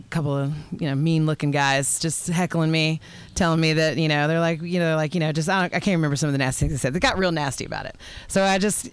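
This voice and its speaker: A woman's voice: sits low, smooth, no room sound. A woman, a guest singer-songwriter chatting casually and telling anecdotes. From North Carolina, United States.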